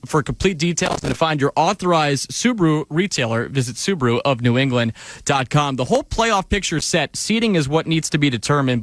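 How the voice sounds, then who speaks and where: A man hosting a radio pregame show from the studio, moving from somber remarks on sad news to brisk sponsor reads. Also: mid-range voice, no echo. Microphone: studio microphone.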